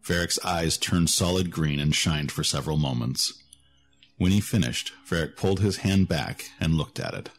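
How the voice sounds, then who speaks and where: A man's voice: rumbling low, moderate pace. A man narrating a scripted fantasy story as the narrator of an audio drama. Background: music.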